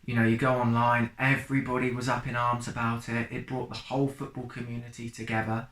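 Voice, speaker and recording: A man's voice: medium pitch, monotone, a little fast, a bit bright, reverberant, poor audio. A man in his twenties, a football fan, speaking reflectively in a conversational documentary interview. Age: 20s.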